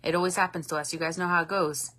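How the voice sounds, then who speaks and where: Bright-voiced chatty woman in her thirties. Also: on the low side, level tone, quick pace. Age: 30s. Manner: candid and chatty, swears freely, warm to commenters. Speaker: livestream host. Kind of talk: casual livestream chat reading viewer comments.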